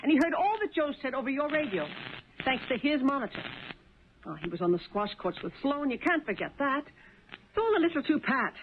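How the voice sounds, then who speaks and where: Skeptical, protective wife, dull old-radio sound. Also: female voice, high voice, very animated, fairly quick. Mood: suspicious. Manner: pointed, skeptical, insistent. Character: suspicious, protective, sharp-minded wife of cab driver. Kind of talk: 1970s radio drama acting. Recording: dull old radio recording, faint hiss.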